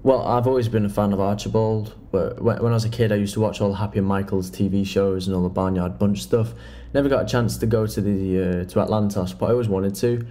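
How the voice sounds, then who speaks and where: A man's voice: fairly low pitch, steady tone, fast, dark tone, natural voice. A young British man in his late teens acting a nervous, eager job applicant in a horror drama, stammering and hesitant. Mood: earnest, hesitant. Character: nervous, eager nineteen-year-old male job applicant. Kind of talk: naturalistic audio drama acting in a horror film.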